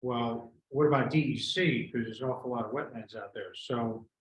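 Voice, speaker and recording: A man's medium-pitched voice in an echoey room with slight background noise. He presents to a board, explaining things informally step by step. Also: normal speed. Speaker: presenter of a development plan to a board. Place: meeting room.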